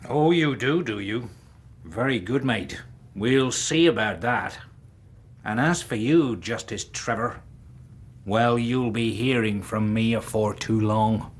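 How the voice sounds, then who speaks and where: An actor playing an insolent, menacing old seaman turned butler, speaking in a rough, sneering sailor's manner in a radio drama. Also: lowish voice, slow. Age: older.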